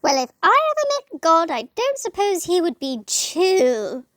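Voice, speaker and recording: Woman playing a high-pitched, prim, naive angel. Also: very animated, unhurried, fairly bright tone, no echo, studio quality, exaggerated voice. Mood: prim, indignant. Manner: prim, earnest, easily flustered. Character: naive, prim, indignant low-ranking angel lost in hell. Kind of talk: comic puppet-show dialogue.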